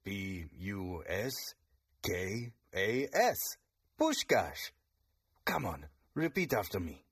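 An actor playing a teasing, proud father who coaches football, talking in a gruff, joking way. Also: on the low side, sing-song, slow. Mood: instructive. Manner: gruff teasing, barks orders.

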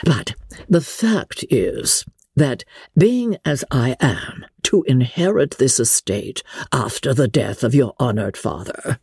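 A woman's voice: rumbling low, expressive, slow, a little mellow, husky, no echo, clean studio sound, full character voice. A woman voicing a pompous, long-winded clergyman suitor in a formal, ponderous manner for a dramatic reading of a classic novel. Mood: self-important.

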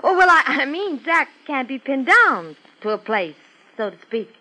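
An actress playing an intense, defensive young beauty contestant in a 1950s radio drama, with a theatrical, coy delivery. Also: female voice, high voice, sing-song, moderate pace, pushed and bright, heavily acted, poor audio. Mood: evasive.

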